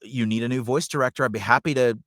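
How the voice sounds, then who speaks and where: A man's voice: mid-range voice, quick pace, fairly bright tone, no echo, studio quality. A middle-aged man speaking earnestly and conversationally as a guest in a podcast interview, a working voice actor and coach.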